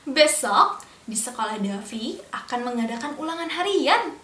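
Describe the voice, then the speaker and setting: A young woman telling a picture-book story to small children, in a slow sing-song way, stopping to ask them questions. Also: high-pitched, lively intonation, fairly bright tone, far from the mic. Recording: echoey room, a bit off-mic.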